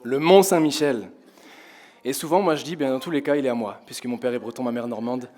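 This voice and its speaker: A young man from France preaching to his congregation in a church hall, his voice hoarse, talking in a conversational way and asking the congregation questions. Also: medium pitch, fairly quick, a little mellow. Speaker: pastor. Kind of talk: sermon. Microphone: headset with in-ear monitor.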